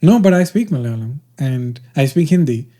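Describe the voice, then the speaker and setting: Man hosting, dark mellow voice. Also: medium pitch, lively intonation, normal speed. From India. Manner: playful, teasing, with many fillers and restarts. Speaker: podcast host. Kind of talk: casual chat.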